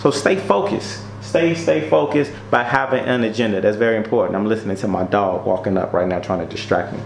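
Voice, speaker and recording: A man's voice: on the low side, a little fast, vocal fry. Man giving tips in an echoey room. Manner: emphatic, repeats key points, direct address. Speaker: presenter giving business tips. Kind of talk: informal how-to talk, numbered tips. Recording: roomy echo, faint noise and hum.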